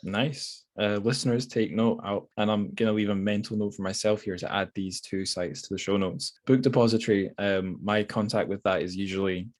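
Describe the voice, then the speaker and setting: A man with a low voice hosts a relaxed podcast conversation about books. He thinks aloud as he goes, with loose, slightly hesitant phrasing. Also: moderate pace, few pauses. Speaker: podcast host. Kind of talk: casual book discussion interview.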